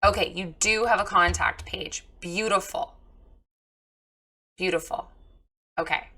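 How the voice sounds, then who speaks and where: Woman reviewer, hoarse and froggy, bright forceful delivery, candid coaching tone. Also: fairly low pitch, steady tone, measured pace. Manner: chatty, frank, encouraging with filler ums. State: hoarse, recovering from lost voice. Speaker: website reviewer giving feedback. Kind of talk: casual screen-share critique.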